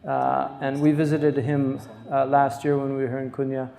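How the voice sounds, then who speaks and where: Man speaking in an echoey hall, gravelly. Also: medium pitch, completely flat delivery, mellow. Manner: halting, many pauses and fillers. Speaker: host introducing a guest scholar. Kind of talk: unscripted introductory talk at a lecture. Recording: echoey hall, distant mic.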